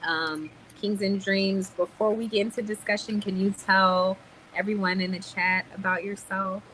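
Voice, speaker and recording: A woman's voice: medium pitch, steady tone, normal speed, fairly bright tone, slight background noise, low-quality recording. A woman hosting a livestream panel, chatting bluntly and casually with slangy speech. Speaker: livestream host.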